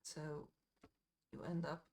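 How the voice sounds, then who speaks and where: Woman, Dutch accent, low voice, slow pace, casual streamer chat, rambling with fillers. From Netherlands. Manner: relaxed, rambling, with frequent fillers and asides. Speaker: miniature painting streamer. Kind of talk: casual live-stream chat while painting.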